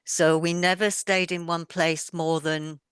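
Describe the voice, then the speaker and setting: A woman's voice: on the low side, little pitch movement, a little slow, fairly bright tone, smooth, no echo. A middle-aged woman author with a mixed British accent, a touch of Welsh and Yorkshire, talking thoughtfully in an interview. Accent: mixed British, with a little Welsh and Yorkshire. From born in England, raised moving around the world. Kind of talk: casual conversation.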